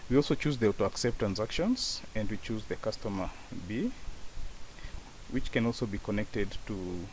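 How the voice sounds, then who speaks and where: A man with a fairly low voice walks through a software tutorial step by step. He speaks as an instructor, explaining each part in order. There is a light hiss of noise on the recording. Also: moderate pace, poor audio. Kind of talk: software tutorial screen walkthrough. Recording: hissy voice-over with faint background noise.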